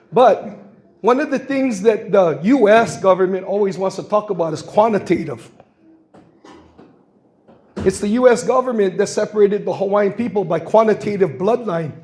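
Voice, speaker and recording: A man in his sixties from Guam, with a noticeably high voice, addresses a public forum. He tells stories in a plain, earnest way and acts out the voices of the people he quotes. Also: lively intonation, moderate pace, darkish tone. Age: 60s. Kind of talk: impassioned public comment. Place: public meeting hall.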